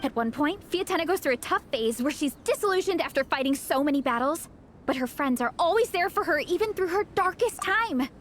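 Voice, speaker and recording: Game casting: devoted young fangirl, earnest and enthusiastic, very high animated voice, music underneath. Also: brisk, a little hiss or hum. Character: eager, earnest young girl, devoted storybook fan and club founder. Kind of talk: video game voice acting. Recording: music behind the voice.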